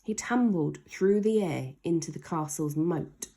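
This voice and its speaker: A woman's voice: deep voice, unhurried, mellow. A woman reading a children's story aloud expressively, stretching key words for dramatic emphasis.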